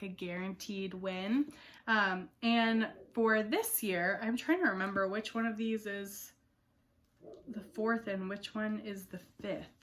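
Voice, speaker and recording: A woman's voice: medium pitch, fairly bright tone. A young woman book vlogger chatting casually to camera, her voice not fully back after an illness, rambling with fillers.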